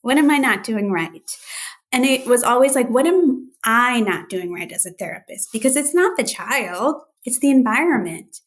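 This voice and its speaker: A woman's voice: on the high side, expressive, normal speed. A woman speaking as a guest clinician on a podcast, telling personal stories earnestly and often acting out what others said. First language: Urdu and Hindi besides English. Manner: earnest, quotes others' remarks in dialogue. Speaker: guest speech-language pathologist. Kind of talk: podcast interview, personal storytelling.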